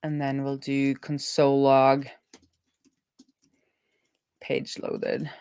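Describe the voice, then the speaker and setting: A woman's voice: a bass voice, completely flat delivery, drawn-out words, a little mellow, clear, resonant tone. A woman live-streaming as a web developer, casually thinking aloud as she talks through each coding step. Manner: thinking aloud, talking through steps to herself.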